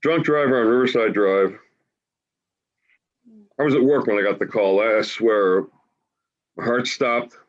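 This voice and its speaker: A man in his forties acting a grieving, wry homeless man in a staged play reading, halting and emotional in a conversational way. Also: mid-range voice, close to an everyday voice. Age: 40s. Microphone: video call. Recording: dull, lo-fi video call audio.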